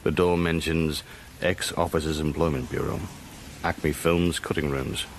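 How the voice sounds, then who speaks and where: An actor playing a wry working-class British intelligence agent, narrating a radio drama in a deadpan, sardonic way. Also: male voice, extremely low pitch, measured pace, noisy background.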